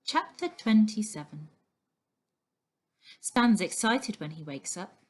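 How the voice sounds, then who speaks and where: Slow-paced woman reading a children's story. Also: medium pitch, very animated. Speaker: story reader. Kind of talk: scripted narration of a children's novel.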